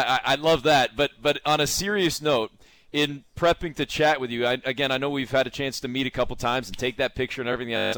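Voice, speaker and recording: A man's voice: mid-range voice, a bit bright. A man co-hosting a sports show, interviewing in a wordy, complimentary, conversational way. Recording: hip hop music behind voice. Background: hip hop music.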